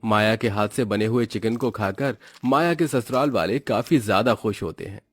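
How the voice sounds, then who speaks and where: A man's voice: lowish voice, moderate pace, no echo. A man with an Indian accent giving scripted narration for a cartoon story.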